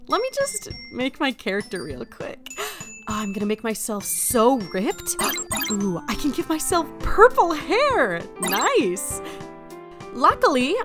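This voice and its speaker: An excitable agent in an audio drama, with a very high voice that leaps up and down wildly. The delivery is flustered, sarcastic and exasperated. Music plays under the lines. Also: female voice. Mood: playful.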